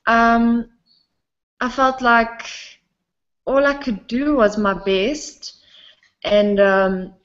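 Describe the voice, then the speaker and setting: A woman's voice: on the high side, very slow, pauses often. Giggly young South African woman. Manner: giggly, relaxed, often laughs between sentences. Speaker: interviewee, singer. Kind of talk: casual interview chat. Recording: dull lo-fi sound.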